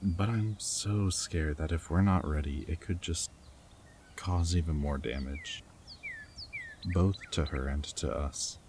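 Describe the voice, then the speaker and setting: Very low-voiced, tender goofy rebel scientist played by a man. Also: slow, a little mellow, slight background noise. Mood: tender, anxious. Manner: tender, rambling, earnest. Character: goofy, affectionate young male rebel lab scientist and anxious foster parent. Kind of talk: intimate scripted roleplay audio drama, speaking to the listener.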